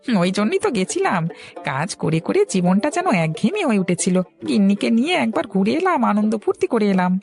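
A woman acting as a village laundryman in a cartoon tale. The voice is creaky and very animated, chatty, over faint music. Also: medium pitch, brisk. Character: chatty married village laundryman named Ramu. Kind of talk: Bengali cartoon story acting.

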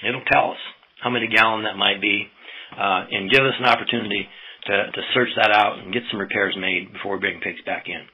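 An American man giving an informal slide lecture to farmers as a presenter, in a folksy, halting way. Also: fairly low pitch, steady tone, slightly rough, highs cut off. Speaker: presenter, swine extension specialist.

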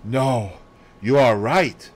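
A man voicing a fussy, excitable little Belgian detective in an audiobook, theatrical and precise, dropping in French phrases. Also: medium pitch, extremely slow. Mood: grave. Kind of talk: audiobook character voice.

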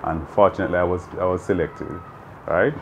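Deep-voiced Jamaican man teacher. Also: fairly quick, background noise. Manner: earnest, explaining with many "you know"s. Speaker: math teacher. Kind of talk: interview answers. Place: open veranda outdoors.

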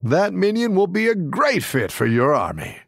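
An actor playing a friendly, encouraging tavern keeper who looks after little dragons in a video game, with a warm, folksy manner and a gravelly, husky voice. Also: male voice, high-pitched, huge pitch swings, normal speed, no room sound, studio quality, exaggerated voice. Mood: cheerful, encouraging. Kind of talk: game character voice lines.

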